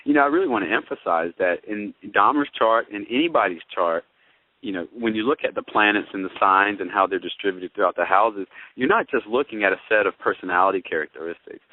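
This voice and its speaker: A man's voice: fairly low pitch, dry recording. A man speaking as an astrologer in a conversational debate, with an emphatic, wordy manner and formal vocabulary. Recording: tinny, band-limited radio or phone-line audio.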